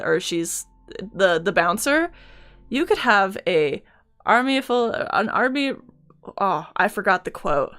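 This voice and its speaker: A woman's voice: a little high, fairly bright tone, no room sound, studio quality. A young woman hosting a casual chat, reacting eagerly with short interjections. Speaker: stream host.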